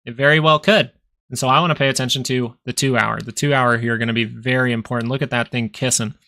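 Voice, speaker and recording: Bright-voiced man, nasal, casual market talk. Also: mid-range voice, fairly even intonation, quick pace. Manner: casual, rambling, thinks aloud with frequent restarts. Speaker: stock market livestream host. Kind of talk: live market commentary and chat with viewers.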